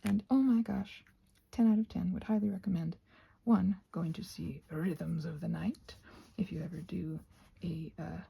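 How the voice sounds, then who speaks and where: Husky, creaky-voiced woman in a soft ramble. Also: fairly low pitch, steady tone, moderate pace, dull audio. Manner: effusive, wandering, thinking aloud. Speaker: host of a craft ramble ASMR channel. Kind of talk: casual rambling chat while painting; soft-spoken ASMR, often dropping into whispers.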